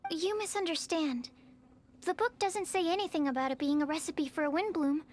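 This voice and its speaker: A woman's voice: very high-pitched, moderate pace, a little hiss or hum. A woman voicing a timid, bookish young female alchemist who speaks politely and carefully in an anime video game. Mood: earnest. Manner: hesitant, politely formal, careful. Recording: faint music behind the voice.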